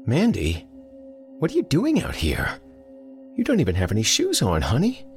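A man voicing an irritable man shouting across the house, gruff and impatient. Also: medium pitch, huge pitch swings, normal speed, faint music behind, pitched above the performer's own voice. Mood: concerned. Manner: gruff, raised. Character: grumpy adult man, irritable then alarmed.

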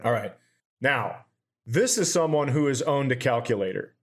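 A man's voice: mid-range voice, normal speed. Seorang pria membawakan obrolan komedi santai, membacakan kiriman dengan datar dan sering mengumpat. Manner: profane, animated storytelling with deadpan read-outs. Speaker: podcast co-host. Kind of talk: casual comedy chat, reading out items.

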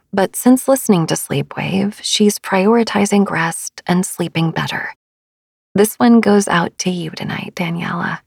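A woman hosting a sleep meditation podcast in a soft, soothing, hushed voice that slips toward a whisper. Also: lowish voice, vocal fry, slightly rough, no echo, clean studio sound. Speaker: podcast host.

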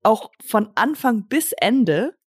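A woman's voice: fairly high pitch, big pitch swings, slow pace, breathy edge, dry recording, studio quality. A young woman from Germany co-hosting a podcast, chatting loosely and rambling, with English phrases mixed in. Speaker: podcast co-host. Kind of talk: casual chat.

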